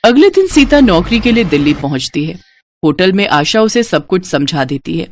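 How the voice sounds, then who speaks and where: A woman's voice: fairly low pitch, big pitch swings, moderate pace, slight background noise. A woman reading scripted narration as the narrator of a story.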